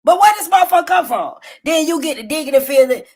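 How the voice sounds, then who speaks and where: A woman hosting a casual livestream, telling stories in a loud, profane and animated way. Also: fast talker. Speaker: livestream host. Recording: low-quality phone stream.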